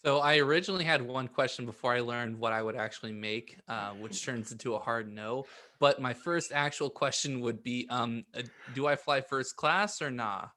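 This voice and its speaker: A man co-hosting a comedy podcast, chatting casually in a hesitant, deliberate way. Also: mid-range voice, pushed and bright. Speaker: podcast co-host.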